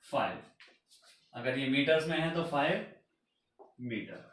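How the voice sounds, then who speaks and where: A man's voice: medium pitch, expressive, a little slow, a bit bright. A young male physics teacher at a whiteboard, asking his class questions and answering them himself in a mix of Hindi and English. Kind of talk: whiteboard lecture. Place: small room at a whiteboard. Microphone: camera a few meters away. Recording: very echoey room, voice from far off.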